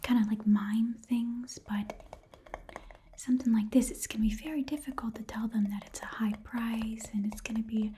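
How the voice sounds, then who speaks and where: A woman's voice: fairly high pitch, steady tone, moderate pace, a little hiss or hum, lightly acted. Whispering goblin shopkeeper, played by a woman. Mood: musing, haggling. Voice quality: breathy whisper. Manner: rambling, hesitant, repeats phrases softly. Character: haggling goblin pawnshop keeper, shifty, chatty and curious. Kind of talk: whispered ASMR roleplay. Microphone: close ASMR microphone.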